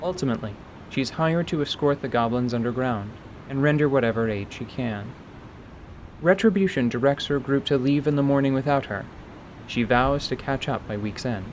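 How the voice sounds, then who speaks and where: A man delivers scripted radio-theatre narration of a fantasy tale. His voice sits at a middle pitch, with clear rumbling ambience behind it. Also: moderate pace, slight background noise, low-quality recording.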